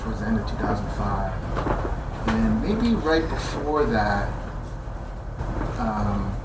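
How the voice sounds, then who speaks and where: A man reading his poems aloud and telling the story behind one between them, with measured pacing and casual fillers. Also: mid-range voice. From New Jersey. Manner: measured reading with dramatic slowing on key phrases. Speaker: poet. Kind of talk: poetry reading with spoken introduction. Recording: roomy, distant live recording with background noise.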